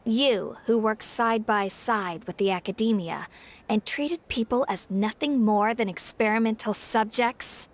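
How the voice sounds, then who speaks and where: A scornful female believer in a video game. Her voice sits high as she throws formal accusations. There is faint noise behind her. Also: a little slow. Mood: indignant. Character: scornful, accusing woman believer of a desert king. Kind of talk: dramatic video game voice acting.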